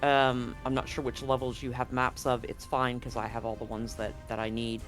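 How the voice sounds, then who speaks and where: A man running a tabletop horror game as game master, setting scenes slowly and deliberately, often restarting his sentences. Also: mid-range voice, flat delivery, a bit bright, soft background music.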